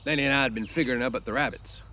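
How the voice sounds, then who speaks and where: A man voicing a hesitant, embarrassed old ranch hand in a storybook, with a drawn-out, tentative delivery. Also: medium pitch, pushed and bright, a little hiss or hum, close to an everyday voice.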